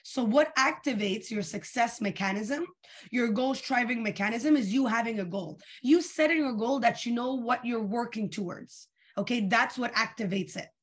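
A young woman leading a live online coaching session, energetic and asking her audience questions, her voice a little tired and worn. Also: fairly high pitch, moderate pace, husky. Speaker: coach leading a weekly training call.

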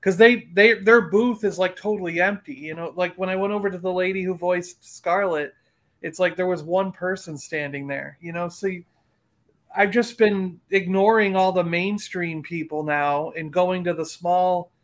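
A man's voice: high-pitched, normal speed. A middle-aged man in casual chat, talking in a rambling, emphatic way and repeating himself. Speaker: collector and panelist on a fan livestream.

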